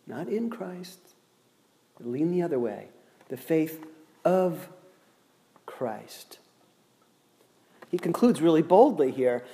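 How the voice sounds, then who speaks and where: A man preaching a sermon, slow and deliberate, with dramatic pauses between words. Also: fairly high pitch, a little mellow. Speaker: pastor. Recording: long hall reverb.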